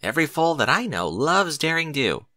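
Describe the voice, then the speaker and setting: A man plays a young pony salesgirl from a storybook, eager to sell. The delivery is bright and animated, with clear wording and a dry sound. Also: a little high, moderate pace, no echo, pitched above the performer's own voice. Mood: salesy. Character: young female pony shop assistant, eager sales pitch. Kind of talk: storybook character dialogue.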